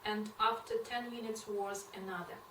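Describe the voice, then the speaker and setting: A woman from eastern Ukraine with a Ukrainian accent, telling her story haltingly in English with many fillers and restarts. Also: mid-range voice, steady tone, bright, forceful tone. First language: Ukrainian or Russian. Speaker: interview guest. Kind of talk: personal testimony in a second language. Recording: echoey room, mic far off, noisy.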